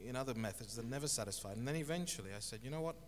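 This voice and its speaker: A middle-aged man from Zimbabwe, a guest telling his life story in an interview, with thoughtful, halting speech and many restarts. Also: mid-range voice, animated. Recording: echoey hall with faint noise.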